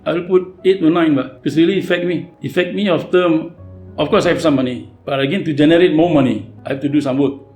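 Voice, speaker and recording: A man's voice: on the high side, fairly quick. Plain-spoken man in his sixties. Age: 60s. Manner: plain-spoken, non-native grammar, tag questions. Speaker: ship captain and harbour pilot. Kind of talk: casual interview talk. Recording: roomy sound with soft background music.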